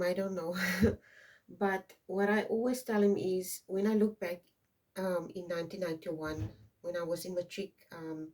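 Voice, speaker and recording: South African woman, 50s, Afrikaans first language; low-pitched, meandering tarot reader, recorded from a distance off the mic. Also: a little mellow. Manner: rambling, tags many lines with "okay?". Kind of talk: casual intuitive card reading.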